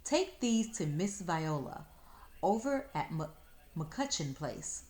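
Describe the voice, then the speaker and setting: A woman's voice: lowish voice, very animated, slow pace, a little mellow. Woman as a folksy, firm grandma. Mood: instructing. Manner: folksy, firm, rural dialect. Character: no-nonsense old Southern country grandmother. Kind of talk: storybook read-aloud.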